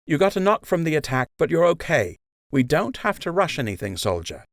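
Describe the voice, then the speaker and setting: A brisk young rabbit nurse from a children's storybook, played by a man, pitched well up from a low male register. She is reassuring yet wry, and her lines swoop with dry sarcasm. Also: sing-song, creaky, no echo. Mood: kindly, firm.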